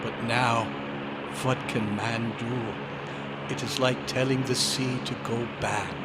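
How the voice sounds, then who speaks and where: A man playing an agitated, despairing scientist in a radio horror drama, speaking emphatically and haltingly, repeating words for weight. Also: medium pitch, steady tone, heavy background noise, close to an everyday voice. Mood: resigned, hopeless. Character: despairing, agitated male scientist warning of doom. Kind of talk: old-time radio horror drama acting. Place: hotel convention meeting room, live performance. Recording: live hall, long reverb.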